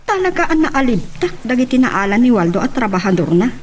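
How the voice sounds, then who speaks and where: A woman's voice: on the high side, very animated, fairly quick, a little hiss or hum. A woman with an Ilocano accent acting a nosy, gossiping wife in an audio drama. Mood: curious.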